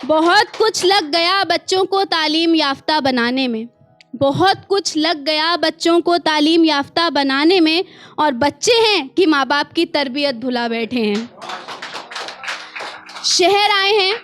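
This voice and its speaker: An Indian woman performs spoken-word poetry in a distinctly high voice. Her delivery is expressive and deliberate, leaning on refrains and repeated lines for emphasis, with conversational asides to listeners. Soft music sits behind her. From small town in India. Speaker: poet performing her own work.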